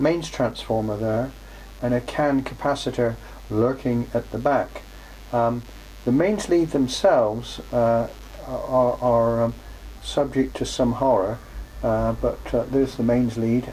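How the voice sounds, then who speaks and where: A man presenting an unscripted show-and-tell in a workshop, speaking haltingly as he thinks aloud. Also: medium pitch, mellow. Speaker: presenter showing vintage electronics for restoration. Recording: clearly noisy, with background hum.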